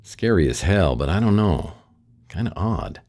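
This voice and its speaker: An American actor playing a wry, world-weary private detective in a noir radio drama, talking and narrating with dry, sardonic delivery. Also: male voice, low voice, very animated, moderate pace, gravelly, a little mellow, exaggerated voice. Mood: wry, unsettled.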